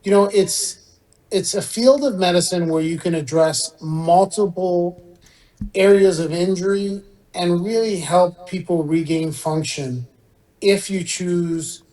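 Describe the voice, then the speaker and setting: A man's voice: high-pitched, takes it slowly, dark tone, low-quality recording. A middle-aged man born in Iran and raised in Southern California, English his second language, answering conversationally as a guest surgeon. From born in Iran, grew up in Southern California. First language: a language other than English.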